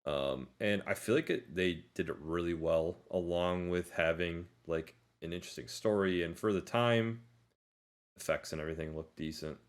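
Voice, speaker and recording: A man's voice: deep voice, moderate pace. A man co-hosting a podcast, talking informally in a casual discussion and hedging his points as he goes. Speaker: podcast co-host.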